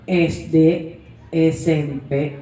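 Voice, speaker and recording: A woman's voice: deep voice, fairly even intonation, very slow, dark tone, roomy sound, recorded from a distance, soft background music, poor audio. A woman in her forties giving a blunt, emphatic motivational talk in a casual, colloquial way. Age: 40s. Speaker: network marketing presenter.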